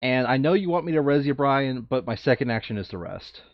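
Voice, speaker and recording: A man's voice: mid-range voice, expressive, brisk, no room sound. Man chatting casually, dull dry recording. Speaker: board game player. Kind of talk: casual tabletop game chat. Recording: dull lo-fi sound.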